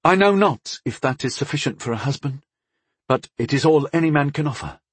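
A man voicing an earnest, courteous country knight with rough edges in a fantasy audiobook, dignified and formal. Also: a little high, expressive, fairly quick, no room sound, voice pushed up. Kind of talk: audiobook character voice.

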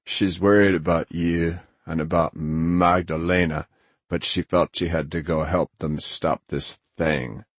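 A man voicing a gruff, sardonic, middle-aged male hacker in an audiobook, with a rumbling, blunt, needling delivery. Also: steady tone, measured pace, no room sound. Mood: sober.

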